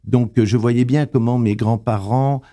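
An older man answering interview questions, hesitant and full of pauses and fillers. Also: medium pitch, fairly even intonation, warm tone. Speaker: interviewee, translator.

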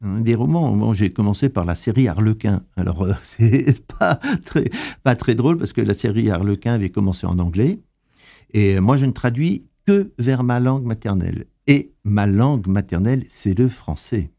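An older man answering interview questions, hesitant and full of pauses and fillers. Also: on the low side, expressive, creaky, mellow. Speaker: interviewee, translator.

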